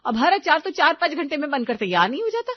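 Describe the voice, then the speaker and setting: A woman plays a young Indian housewife in a cartoon story, exasperated with her family and quick to scold. She fusses over what everyone eats. The sound is very dry and a little lo-fi. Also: sits high, very animated, no room sound, voice pushed up. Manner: scolding, fussy.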